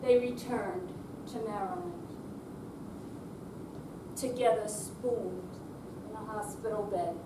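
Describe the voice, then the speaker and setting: Slow, measured woman giving a dramatic reading. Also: medium pitch, fairly even intonation. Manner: measured, clipped phrases with pauses. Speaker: readers theater performer. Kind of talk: dramatic reading of short narrative phrases. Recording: roomy hall, distant mic, background noise.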